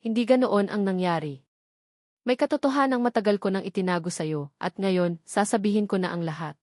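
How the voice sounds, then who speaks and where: A woman's voice: mid-range voice, fairly quick, a little mellow, dry recording, studio quality, lightly acted. A woman voicing an earnest, remorseful husband, a lawyer, in a pleading manner for a drama story.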